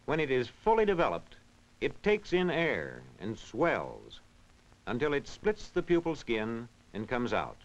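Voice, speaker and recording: An old, muffled film soundtrack carries a man's narration. His pitch is mid-range and moves in wide, animated swings. He explains in a measured, instructional manner with careful diction, in the style of a vintage classroom film. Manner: measured, instructional, clearly enunciated. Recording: dull, band-limited vintage film soundtrack.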